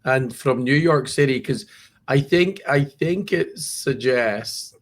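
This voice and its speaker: A man hosts a podcast conversation and asks questions in a loose, relaxed way. He often restarts his sentences and fills pauses with "uh." His voice sits at an ordinary middle pitch. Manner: conversational, with repeated restarts and "uh" fillers. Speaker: podcast host. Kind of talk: casual interview.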